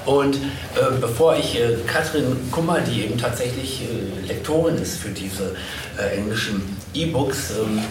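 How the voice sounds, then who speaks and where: A man's voice: medium pitch, normal speed. A man hosting a live panel, introducing guests informally and often searching for words. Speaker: panel host. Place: convention panel stage. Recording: roomy, distant, noisy live event recording.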